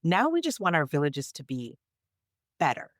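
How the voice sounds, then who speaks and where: A woman's voice: low voice, wildly swooping pitch, normal speed, no room sound, studio quality. A woman from Canada chatting on a podcast as a storyteller guest, emphatic and reflective in how she talks. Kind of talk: conversational podcast chat.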